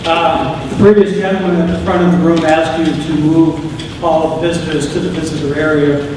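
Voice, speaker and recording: A man at a public meeting raising a point of order, loud-voiced and formal. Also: fairly high pitch, level tone, normal speed, background noise. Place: large auditorium. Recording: echoey hall, speaker far from mic.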